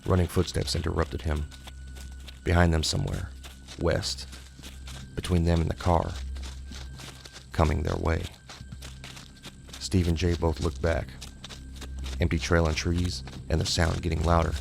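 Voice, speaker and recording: A man's voice: low-pitched, little pitch movement, measured pace, creaky, pauses often, slight background noise. A man narrating a scripted horror short story as an audiobook.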